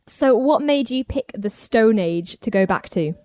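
A woman's voice: a little high, expressive, measured pace, mellow, no echo. A woman interviewing an author in a chatty, upbeat way. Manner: chatty, upbeat, laughs along. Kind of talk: interview questions.

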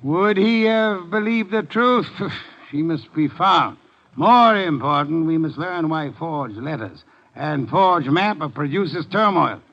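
An actor playing a grumpy, brainy private detective with pompous, precise diction in a 1950s radio drama. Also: male voice, on the high side, animated, slow pace, fairly bright tone, full character voice. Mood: imperious, measured. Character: imperious, grumpy, cerebral male private detective. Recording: muffled vintage 1950 radio recording.

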